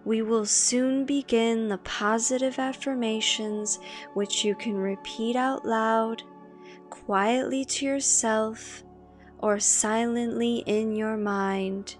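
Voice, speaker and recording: A woman with a fairly high voice leads a guided meditation. She speaks very slowly and calmly, leaving long pauses between measured phrases. Soft meditation music plays behind her. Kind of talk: slow guided meditation with spoken affirmations.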